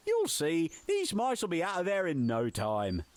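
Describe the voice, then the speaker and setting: A man's voice: a little high, huge pitch swings, moderate pace. A man voicing a cheerful, eccentric, homely gardener creature in a video game, with a jovial, whimsical, sing-song delivery. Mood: warm, musing.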